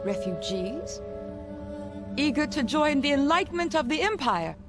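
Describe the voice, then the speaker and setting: A woman's voice: high-pitched, very animated, unhurried. An actress playing a haughty, bewildered noblewoman from an ancient age, with formal, imperious delivery for a fantasy video game. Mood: puzzled, proud. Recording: game music behind the voice.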